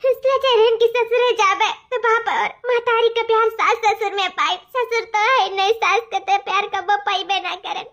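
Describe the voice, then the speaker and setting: A tiny, extremely high-pitched cartoon daughter-in-law from the village. She laments in an eastern Uttar Pradesh accent, plaintive and wailing. Her delivery is melodramatic and aggrieved, circling back over the same complaints. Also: steady tone, moderate pace, few pauses, fairly bright tone, dull audio. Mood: tearful, self-pitying. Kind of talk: melodramatic comedy cartoon dialogue.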